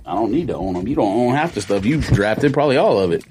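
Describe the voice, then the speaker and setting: A man's voice: on the low side, lively intonation, fast, background noise. A man co-hosting a casual podcast, arguing and joking with his friends. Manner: playful, argumentative. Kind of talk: casual banter among friends.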